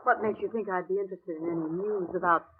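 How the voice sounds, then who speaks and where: A woman's voice: on the low side, steady tone, quick pace, lightly acted. A woman playing a hard, sullen gangster's moll in a 1950s radio crime drama, curt, slangy and defiant. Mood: icy, guarded. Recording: dull, noisy old radio recording.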